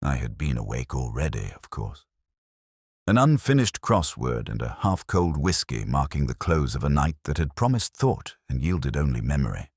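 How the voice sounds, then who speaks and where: Very deep, brooding detective narrator played by a man. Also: very animated, husky, no echo, studio quality, full character voice. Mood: weary, reflective. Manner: measured, literary, wry and brooding. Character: weary, sharp-minded, melancholy older male police detective. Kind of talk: scripted first-person detective narration.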